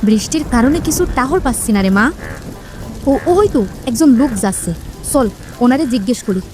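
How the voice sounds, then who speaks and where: A woman voicing a brisk, scolding village mother in a folk-tale cartoon, bossy and calling out. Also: sits high, animated, dark tone. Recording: noisy rainy ambience behind voice.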